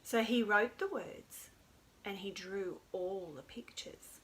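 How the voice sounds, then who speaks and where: A woman's voice: mid-range voice, slow pace, fairly bright tone, low-quality recording. A woman hosts a storytime for young children, speaking in an encouraging, sing-song way with simple phrases. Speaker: children's storytime host at a library.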